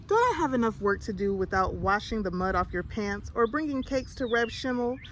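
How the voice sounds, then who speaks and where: A woman's voice: fairly high pitch, animated, brisk, a little hiss or hum, close to an everyday voice. A woman voicing a weary, firm, scolding but loving village mother in a storybook. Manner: chiding, firm.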